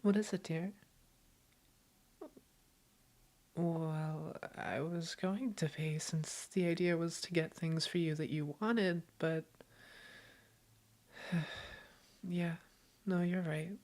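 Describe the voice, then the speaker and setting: A woman playing a doting, gently teasing girlfriend in an intimate roleplay audio, affectionate and reassuring. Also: fairly low pitch, steady tone, slow pace. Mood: gently apologetic.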